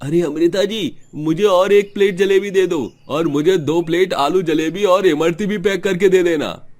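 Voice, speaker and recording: A man voicing an eager street-food customer in a moral story, with a lively manner. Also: high voice, unhurried.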